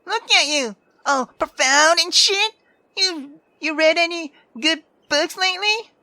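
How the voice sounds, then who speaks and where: A woman's voice: extremely high pitch, unhurried, pushed and bright, dry recording, voice pushed up, heavily acted. A woman voicing a brash, sarcastic sixteen-year-old girl who is theatrical, teasing and foul-mouthed in a visual novel read-aloud.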